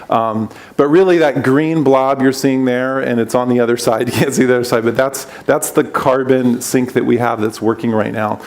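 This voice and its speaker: A man giving a conference presentation on climate solutions, enthusiastic, with loose, quick phrasing. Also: mid-range voice, creaky, darkish tone. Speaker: conference speaker.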